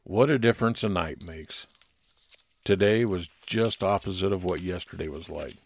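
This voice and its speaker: A man's voice: deep voice, normal speed. A man narrating a scripted first-person private investigator story in old-time radio detective style.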